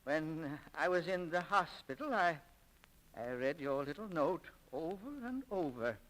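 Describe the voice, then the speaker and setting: An actor playing a kindly, sentimental older shop owner in a 1940s radio drama, speaking haltingly and with feeling. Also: male voice, on the high side, expressive, fairly bright tone, rough recording. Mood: moved, grateful. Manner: halting, emotional, chuckling speech. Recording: vintage 1940s radio recording.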